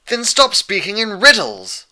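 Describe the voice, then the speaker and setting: Man voicing an impatient, high-pitched brash pony girl. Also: measured pace, full character voice. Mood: frustrated. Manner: protesting, blunt, excitable. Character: brash, impatient young female pegasus pony. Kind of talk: fan fiction audiobook character voice.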